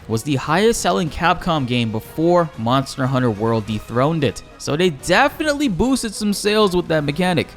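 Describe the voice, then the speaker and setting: A man's voice: medium pitch, fairly bright tone. A man giving informal, enthusiastic gaming news commentary as a YouTuber, addressing his viewers directly. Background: faint music.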